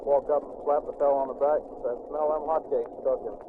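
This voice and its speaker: A man's voice: medium pitch, steady tone, brisk. A young man from Montana, a Marine, giving plain-spoken, modest answers in a radio interview aboard a ship. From Montana, USA. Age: young adult. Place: aboard a navy landing ship. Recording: tinny lo-fi 1940s radio recording with hiss.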